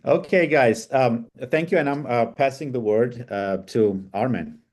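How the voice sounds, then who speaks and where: A man presenting in an online business session, explaining strategy through everyday examples in a relaxed, hesitant way. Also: mid-range voice, measured pace.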